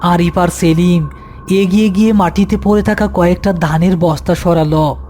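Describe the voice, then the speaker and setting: A man's voice: high voice, fairly even intonation, normal speed, dark tone, soft background music. A man giving scripted narration as the storyteller of a horror tale.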